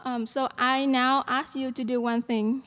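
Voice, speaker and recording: A young Vietnamese-accented woman speaks earnestly at a podium microphone and fills her pauses with soft "um"s. Her voice sits fairly high. It rings out with a long reverb through a large hall. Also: level tone. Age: young adult. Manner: earnest, with frequent "um" fillers and light laughs. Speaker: student speaker at a graduation. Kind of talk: commencement speech.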